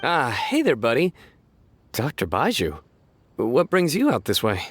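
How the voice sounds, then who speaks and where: A weary city guard. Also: male voice, mid-range voice, huge pitch swings, measured pace, slight background noise. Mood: concerned. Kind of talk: video game voice acting.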